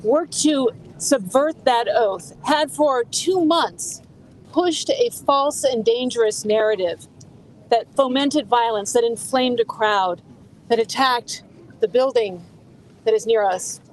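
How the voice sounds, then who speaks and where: A woman's voice: a little high, takes it slowly, slight background noise, poor audio. A woman speaking earnestly and indignantly at an outdoor press conference. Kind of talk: press statement. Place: outdoors on a city street.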